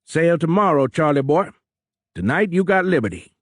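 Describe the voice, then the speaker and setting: A man's voice: a little high, big pitch swings, normal speed, dry recording, clean studio sound, voice pushed up. A man voicing a big, boisterous, domineering submarine captain with a Cajun accent and a folksy, blustering drawl in an audiobook. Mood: boisterous, eager.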